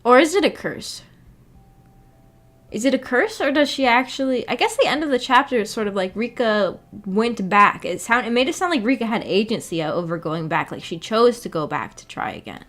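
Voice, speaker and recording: A woman's voice: fairly high pitch, animated, normal speed, fairly bright tone. A woman hosting a livestream in a casual, rambling way, hesitant as she thinks aloud. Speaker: livestream host.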